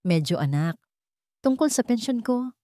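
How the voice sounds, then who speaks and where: Woman as humble old dad pleading w his kids, mellow, meek, radio story. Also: fairly high pitch, expressive, normal speed, no echo, studio quality, pitched above the performer's own voice, lightly acted. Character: humble, hurting elderly father asking his children for help. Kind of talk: audio drama storytelling.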